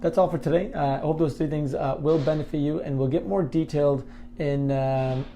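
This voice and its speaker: Male explainer host, slightly high register, relaxed mellow tone, informal conversational delivery. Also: a little fast, slight background noise. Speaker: host of an advice video on car rental. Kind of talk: casual explainer to camera.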